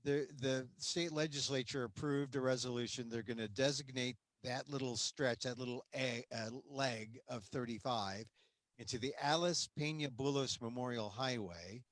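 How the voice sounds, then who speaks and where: A man hosts a relaxed interview with a bright, forceful tone. He is animated, jumps in often and laughs easily. The sound is dry and close, with no room around it. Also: medium pitch, normal speed, no room sound. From United States. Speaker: host. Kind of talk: conversational interview.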